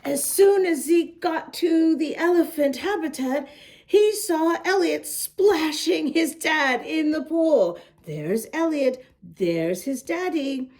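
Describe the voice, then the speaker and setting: A woman reading a children's picture book aloud in an expressive, deliberate way, adding little asides for young listeners. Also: sits high.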